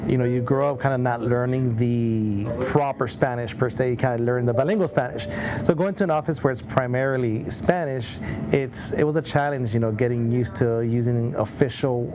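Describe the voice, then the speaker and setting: A man's voice: mid-range voice, normal speed, noisy background. A man meteorologist answering interview questions casually, with many fillers like "you know".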